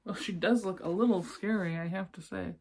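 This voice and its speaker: Female children's read-aloud presenter, slightly husky, gentle and encouraging, talks straight to the young listener. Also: lowish voice, fairly quick. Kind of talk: expressive storybook reading with asides to young listeners.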